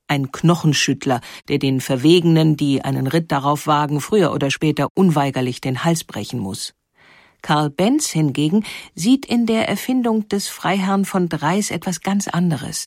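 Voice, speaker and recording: A woman narrating a scripted historical documentary in a measured, clearly phrased storytelling manner. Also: sits low, a little mellow, dry recording, studio quality. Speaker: narrator of a historical audio feature.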